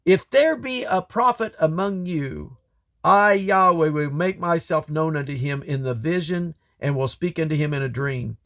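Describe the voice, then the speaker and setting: A man's voice: fairly high pitch, moderate pace, fairly bright tone. Man reading as a stern, commanding God. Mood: stern, rebuking. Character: God, stern, commanding male deity rebuking his servants.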